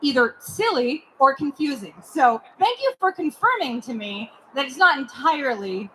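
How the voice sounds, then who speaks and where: A woman in her thirties, a singer-songwriter chatting casually with a live audience between songs, quick and self-deprecating, with asides and fillers. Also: high-pitched, big pitch swings, pushed and bright, a little hiss or hum, rough recording. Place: live performance area before an audience. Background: acoustic guitar.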